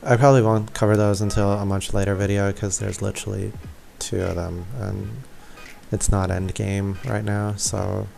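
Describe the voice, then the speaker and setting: A man's voice: on the low side, level tone, moderate pace, vocal fry, darkish tone, faint music behind. A young man giving casual, offhand, self-deprecating gameplay commentary. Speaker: gaming video creator.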